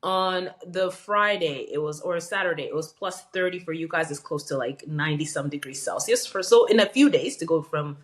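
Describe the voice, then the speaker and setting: A woman's voice: deep voice, normal speed, hardly any pauses. A middle-aged woman from Western Canada, a physician and podcast guest, talks in a reflective, conversational way with many fillers and restarts. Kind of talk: casual interview conversation.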